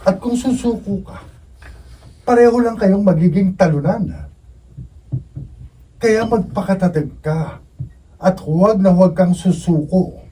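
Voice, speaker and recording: A man's voice: high-pitched, measured pace, long pauses, dark tone, husky. An actor playing a loyal young male friend, earnest and encouraging, in a Filipino radio drama. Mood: urgent encouragement. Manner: earnest, exhorting. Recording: distant mic, faint noise.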